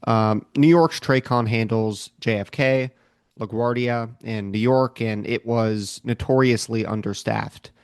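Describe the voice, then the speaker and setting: An American man co-hosting a podcast, explaining things in a measured way from prepared notes. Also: on the low side, fairly even intonation, smooth, no room sound, clean studio sound. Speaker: podcast co-host.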